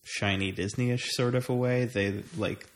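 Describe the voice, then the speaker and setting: A man's voice: on the low side, fairly even intonation, unhurried. An American man in casual conversation, talking in a hesitant way as he thinks aloud. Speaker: conversation partner swapping travel stories. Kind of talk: casual chat.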